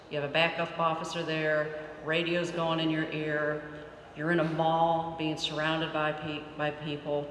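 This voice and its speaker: A police chief giving an earnest, emphatic graduation speech and asking rhetorical questions. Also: male voice, a little high, fairly bright tone, slight background noise. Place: large indoor hall. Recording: echoey hall from far off.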